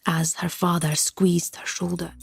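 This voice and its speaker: A woman's voice: lowish voice, flat delivery, measured pace, creaky, slightly rough. A woman narrator reading a scripted fantasy story.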